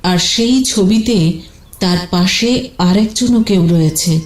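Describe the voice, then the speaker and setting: A woman delivers scripted, dramatic story narration as an audiobook-style narrator. Her voice sits at a middle pitch, and the track has a noticeably echoing, roomy sound. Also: slow pace, a little mellow.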